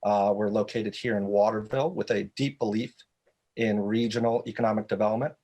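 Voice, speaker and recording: A man speaking as a guest panelist, giving formal remarks in a measured, corporate way. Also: lowish voice, fairly even intonation, darkish tone. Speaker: guest speaker, economic development director.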